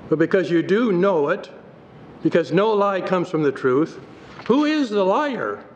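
An older man, a pastor, delivering a sermon at a pulpit in a church, reading scripture aloud from his notes in a measured way. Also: high voice. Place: church sanctuary. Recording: dull hall recording with faint noise.

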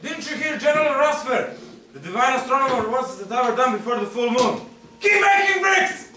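A man's voice: very high voice, very animated, normal speed, background noise. A young man playing a cruel, domineering military overseer in a stage play, barking at his underlings. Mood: barking orders. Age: young adult. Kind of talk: loud amateur stage acting. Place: small theatre stage. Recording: very echoey theatre, distant camera.

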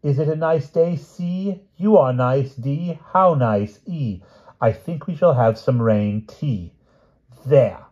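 A man's voice: medium pitch, slow, dark tone, pitched above the performer's own voice. A man voicing a dithering, eager old-fashioned king who writes poetry, with blustering upper-class verbal tics, in a storybook reading. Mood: earnest, hopeful. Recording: dull, muffled lo-fi audio.

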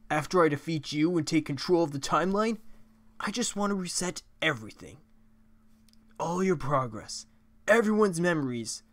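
A man's voice: a little high, pushed and bright, dry recording. A man voicing a young goat-monster villain from a video game, taunting and emphatic, with manic gloating. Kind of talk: video game character impressions.

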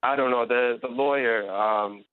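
A man's voice: medium pitch, a little slow, clear, resonant tone, no room sound. A man, a journalist on a radio panel, arguing his point fluently and earnestly. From Iran, raised in America. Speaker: journalist and blogger, panel guest. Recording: tinny phone line.

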